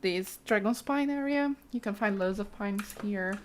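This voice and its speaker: A woman's voice: mid-range voice, measured pace, noisy background. A woman giving casual, chatty gameplay commentary, thinking aloud as she plays. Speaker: let's play host. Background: game music, keyboard clicks.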